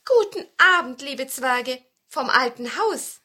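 Storybook role: helpful forest witch, eager and emphatic, raised bright voice played by a female reader. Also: high-pitched, slow pace, husky, voice pushed up, full character voice. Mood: greeting. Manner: animated, emphatic storybook delivery.

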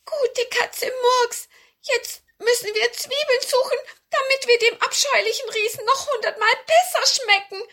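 A little storybook dwarf played by a woman in a very high, bright voice. He is timid and earnest, whining as if close to tears. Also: a little slow, exaggerated voice. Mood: anxious. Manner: plaintive, whining. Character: small timid male dwarf, earnest and tearful.

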